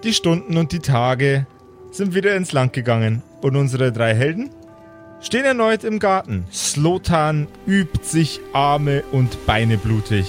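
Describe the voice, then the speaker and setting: A man's voice: fairly high pitch, sing-song, a little slow, faint music behind. A man narrating an improvised tabletop fantasy game as its game master.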